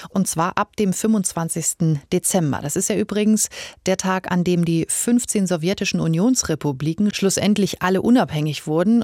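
A woman's voice: fairly low pitch, vocal fry, a little mellow, no echo. A woman hosting a scripted cultural radio programme, recorded close in a studio. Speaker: radio host.

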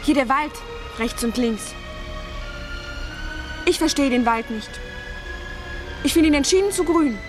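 Curious, high-voiced teenage schoolgirl. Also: animated, unhurried, pauses often, music in the background. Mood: playful, dreamy. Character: teenage schoolgirl, curious and playful younger sister. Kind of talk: 1970s German radio play acting.

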